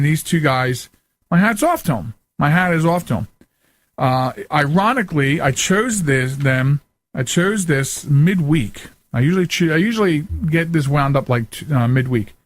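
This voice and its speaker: A man's voice: a little high, no echo. A man hosting a radio show, talking casually and informally in a folksy, conversational way as he gives his commentary.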